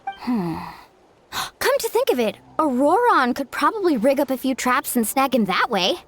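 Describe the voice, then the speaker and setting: A woman's voice: high voice, big pitch swings, normal speed, fairly bright tone, heavily acted. A woman voicing a cheerful, earnest young girl in a video game, bubbly and eager. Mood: upbeat.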